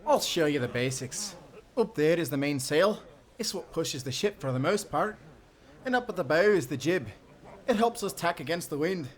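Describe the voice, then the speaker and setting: A man playing a hearty, friendly timberman turned sailor who talks in folksy, encouraging old-time seafaring speech in a nautical audio drama. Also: a little high. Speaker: sailor guiding a newcomer.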